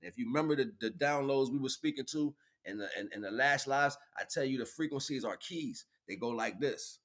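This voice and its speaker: A man's voice: mid-range voice, fairly quick, a bit bright. A man giving an improvised spiritual, motivational livestream talk in an emphatic, preachy way, repeating phrases for effect.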